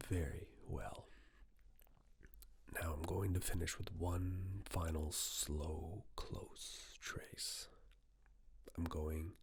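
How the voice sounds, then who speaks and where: A person whispering an ASMR personal-attention roleplay, giving gentle, soothing step-by-step instructions in a breathy, mostly unvoiced voice. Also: low-pitched, no room sound.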